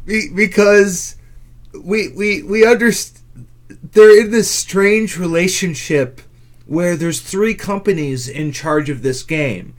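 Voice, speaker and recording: A man's voice: high voice, slow pace. A man hosting a gaming podcast in casual chat, with a wry, stop-and-start delivery. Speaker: podcast host.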